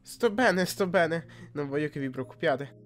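A man's voice: fairly high pitch, expressive, measured pace, a bit bright. A man voicing a troubled, defensive cartoon teenage boy in an amateur fan dub, swinging from forced cheer to desperate outbursts.

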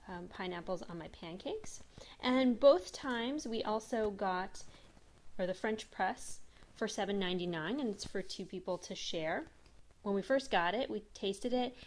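Woman in her 30s doing a chill food review voiceover, friendly and chatty, lots of ums, mid pitch. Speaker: restaurant review vlogger. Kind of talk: casual voice-over review.

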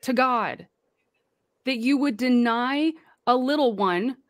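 A woman with a self-described deep voice, speaking as a guest on a livestream panel and calling out critics with passion. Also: slow pace, no room sound, studio quality. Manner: impassioned, speaks out forcefully.

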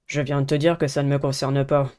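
A woman's voice: very deep voice, level tone, fairly quick, darkish tone, voice pushed down. A woman voicing a surly, dismissive teenage schoolboy in a visual novel, curt and irritated.

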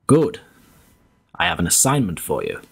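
A man acting a commanding, formal commodore who briefs his agents deliberately in a radio drama. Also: low-pitched, wildly swooping pitch, gravelly, husky, exaggerated voice. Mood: formal, authoritative.

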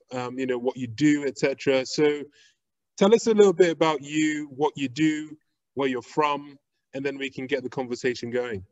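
A young man hosting a podcast interview over a video call, talking in a relaxed, conversational way. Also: on the high side, dry recording. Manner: relaxed, conversational, with "you know" fillers. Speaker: podcast host. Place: indoor room with a plain wall. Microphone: video call webcam. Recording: dull dry webcam audio.

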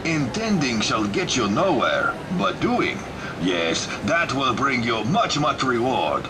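A man's voice: medium pitch, sing-song. Zoltar, a man voicing a pompous fortune-telling machine. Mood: grandiose. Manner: slow, pompous, theatrical proclamations. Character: mechanical male fortune-teller machine, grandiose. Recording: recorded outdoors with loud street noise.

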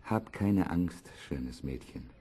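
An actor playing an earnest, ardent young prince in a fairy-tale audio drama, declaiming formally. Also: male voice, deep voice, expressive, slow, dark tone, slightly rough, heavily acted. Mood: tender, ardent.

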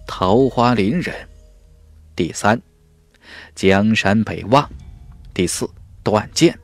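A man voicing a teasing, worldly male warrior in a fantasy audiobook, with a slow, knowing delivery. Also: deep voice, no room sound, full character voice. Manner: sly chuckles, slow weighty delivery. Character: teasing, worldly young male warrior, sworn-brother type.

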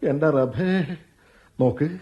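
A man's voice: a little high, slow pace, highs cut off, a little hiss or hum. An actor playing a playful, stubborn young husband who teases and coaxes his wife. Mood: coaxing. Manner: teasing, cajoling, mock-threatening. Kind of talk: radio play acting.

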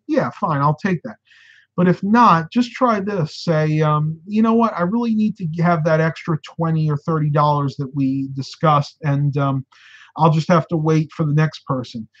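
A man in his thirties hosts a casual live stream from a room at home, giving advice with lively hand gestures. His voice sits a touch high and is starting to turn hoarse. The sound is slightly dull and lo-fi, as if recorded through a desk webcam. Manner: animated, gestures with hands while talking. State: getting hoarse.

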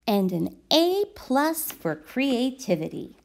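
A woman's voice: a little high, sing-song, slow pace, gravelly, darkish tone. A woman in her thirties reading a children's story aloud as its narrator. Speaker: storybook reader.

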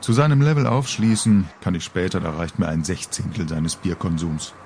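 A man's voice: low-pitched, moderate pace, a little mellow, slight background noise. A middle-aged man reading a scripted humorous story in a wry, colourful storytelling manner. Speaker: author reading own story. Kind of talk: scripted humorous narration, audio-book reading.

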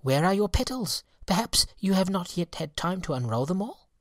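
A woman's voice: lowish voice, very animated, normal speed, dry recording, voice pushed up. A busy young storybook fairy, voiced by a woman, earnest and concerned. The voice is raised well up and lilts about in a dry recording. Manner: questioning, earnest. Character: young sister fairies, busy, concerned and helpful.

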